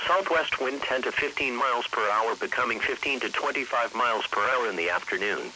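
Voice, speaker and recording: A computer-generated man's voice delivers a formal weather bulletin. The tone is very bright and sharp, at a medium pitch. It comes over a radio broadcast with a faint hiss behind it. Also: fairly quick, poor audio. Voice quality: synthetic text-to-speech voice. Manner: formal, impersonal announcing. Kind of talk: scripted weather bulletin reading.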